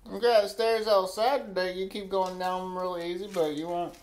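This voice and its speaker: A man's voice: high-pitched, flat delivery, moderate pace. A man casually chatting and coaxing as a pet vlogger, repeating simple questions, filmed on a handheld device indoors at home. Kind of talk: casual chat to camera and dog. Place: indoors at home, hallway and carpeted room. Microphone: handheld phone or camera behind the lens. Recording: noisy amateur home recording.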